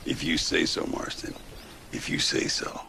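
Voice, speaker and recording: An actor playing a weathered older gunslinger, wry and fatherly, with a gravelly voice in a Western video game. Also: pushed and bright, a little hiss or hum.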